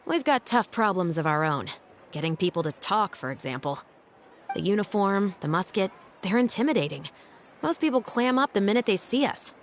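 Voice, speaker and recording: A woman playing a composed, dutiful female patrol captain in a video game, speaking in a measured, dryly wry way. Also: medium pitch, lively intonation, a little hiss or hum, close to an everyday voice. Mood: explanatory, calm. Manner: measured, dry, articulate.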